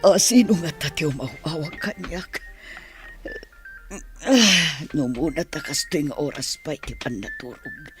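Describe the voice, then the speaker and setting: An actress playing an ailing, weary mother pining for her son in a radio drama, with a husky voice. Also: low-pitched, unhurried. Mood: pained, distressed. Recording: music under the voice.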